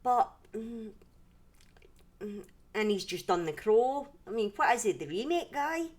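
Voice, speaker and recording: A woman's voice: fairly high pitch, expressive, unhurried, a little mellow. Scottish woman chatting casually on a livestream. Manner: rambling, self-interrupting, conversational. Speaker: livestream host and storyteller. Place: indoors at home.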